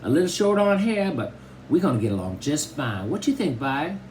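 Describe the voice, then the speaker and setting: A man's voice: a little high, sing-song, normal speed, a little mellow, a little hiss or hum, pitched above the performer's own voice. A man doing a tiny doting Southern granny. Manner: folksy drawl, fussing. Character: tiny old Southern grandmother, doting and bossy.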